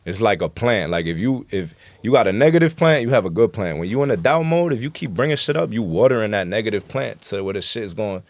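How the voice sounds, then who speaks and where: A man's voice: on the low side, animated, quick pace, few pauses, a little mellow, dry recording. A man chatting casually as an interview guest, explaining things earnestly in street slang. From New York City.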